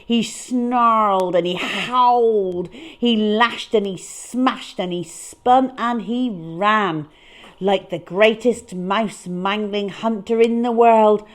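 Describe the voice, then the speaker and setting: A woman storyteller reading aloud to children in a dramatic, expressive way, leaning on key words. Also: mid-range voice, slow pace.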